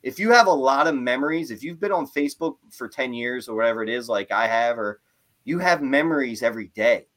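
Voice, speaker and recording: A man hosting a casual interview chat, talking in an animated, rambling way with lots of "like" and "right?". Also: mid-range voice, brisk, low-quality recording. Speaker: podcast host.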